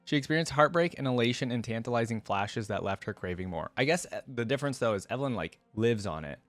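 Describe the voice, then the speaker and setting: A man's voice: medium pitch, animated, quick pace, no echo, faint music behind. A man hosting a reaction video in a casual, conversational way, thinking aloud and reading aloud.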